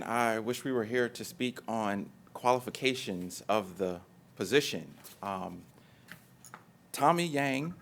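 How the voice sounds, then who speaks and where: A man's voice: mid-range voice, vocal fry. A man speaking as a union treasurer candidate in a debate, assertive and dismissive of his opponent. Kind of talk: debate statement.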